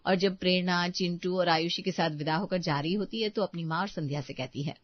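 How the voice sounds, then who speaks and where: A woman's voice: lowish voice, fairly even intonation, a little fast, dry recording, dull audio. A woman reading a scripted moral story as its narrator.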